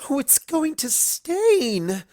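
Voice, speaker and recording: A ladylike cartoon mare, put on by a man, vain and fretful. The voice is pushed high and swoops dramatically, with slow, drawn-out, fussy words as in a storybook melodrama. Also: sing-song, mellow, no room sound, studio quality, exaggerated voice. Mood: fretful, haughty.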